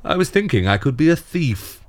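A man's voice: fairly high pitch, sing-song, normal speed. A man playing a weary, sentimental Santa Claus with a grumpy, mischievous streak and a wistful, dry manner in an audio drama. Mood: dryly mischievous.

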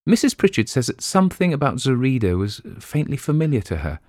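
A man voicing a practical, no-nonsense nurse who speaks in a brisk, matter-of-fact way. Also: mid-range voice, very animated, darkish tone, dry recording, clean studio sound, pitched above the performer's own voice. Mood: firm. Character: practical, no-nonsense middle-aged woman nurse.

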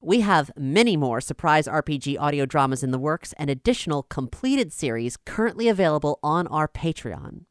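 Dry, close-sounding narrator with mid-range pitch. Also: lively intonation, normal speed, few pauses, clean tone, no room sound. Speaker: host and role-playing game player. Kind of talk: scripted promotional narration, then casual chat.

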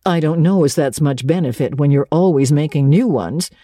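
A woman doing a stern older storybook spinster who meets every fuss with curt, dry remarks. Her voice is low and dark, recorded close and dry. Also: normal speed, no echo.

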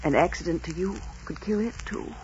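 An actress playing a proud, romantic young gentlewoman in 1940s radio style, speaking formally and with earnest drama. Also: fairly low pitch, a little fast, slight background noise, close to an everyday voice. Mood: earnest, loving. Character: spirited young high-born woman, romantic and proud. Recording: dull 1940s radio recording with music.

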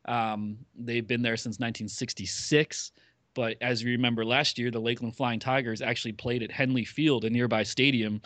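A man talking casually on a podcast at an ordinary pitch. He rambles, fills gaps with "uh" and corrects himself as he goes. The sound is dry and close, with no room echo. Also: steady tone, normal speed, fairly bright tone. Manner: rambling, with many fillers and self-corrections. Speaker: podcast regular covering ballpark business news.

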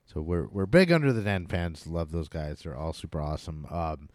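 A man's voice: low voice, normal speed, darkish tone, no echo, studio quality. A man hosting a podcast, chatting casually and enthusiastically in an informal way, as a musician in a band. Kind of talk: casual chat about local bands.

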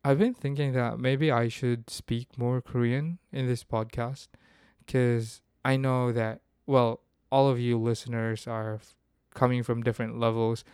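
A man's voice: medium pitch, little pitch movement, a little slow, a little mellow, clean tone, no echo, clean studio sound. A man from the Philippines, hosting a casual solo podcast and thinking aloud with frequent restarts. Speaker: podcast host.

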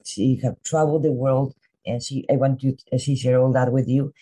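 A woman hosting a podcast, introducing her guest at an unhurried pace with small restarts as she searches for words. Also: extremely low pitch, steady tone, mellow, slightly rough, low-quality recording. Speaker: podcast host. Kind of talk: warm spoken podcast introduction.

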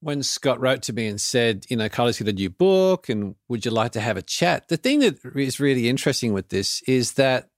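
A man's voice: mid-range voice, very animated, fairly quick, hardly any pauses, dry recording, clean studio sound. A man hosting a podcast, asking curious, thoughtful questions in a conversational way. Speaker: podcast host. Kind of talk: conversational interview.

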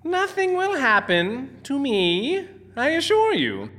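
A man's voice: very high voice, sing-song, slow pace, a bit bright, exaggerated voice. A man playing an eccentric, boastful and cheerfully oblivious scientist in an audio drama, theatrical and precise. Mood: wounded.

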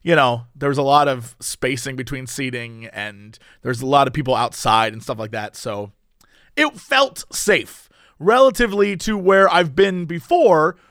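A man co-hosting a casual comedic podcast, rambling along in a playful, animated way. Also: medium pitch, a little slow, dry recording, clean studio sound. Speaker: podcast co-host.

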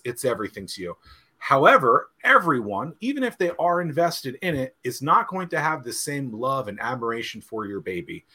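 A man's voice: medium pitch, sharp tone. A man co-hosting a podcast, asking long rambling questions and repeating words as he thinks. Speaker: podcast co-host. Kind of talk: casual interview questions.

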